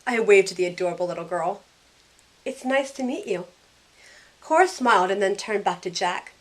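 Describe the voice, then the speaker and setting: A woman's voice: medium pitch, expressive, moderate pace, natural voice, rough recording. A woman playing a sarcastic, self-questioning young woman who narrates her own story in an audio drama. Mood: bright, playful. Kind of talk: first-person audio drama narration and dialogue.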